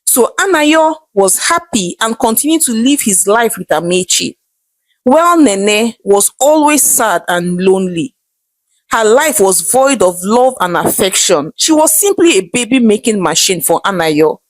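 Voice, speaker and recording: Expressive Nigerian woman telling a story. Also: medium pitch, measured pace, no echo. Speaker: storyteller, channel host. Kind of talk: dramatic oral storytelling.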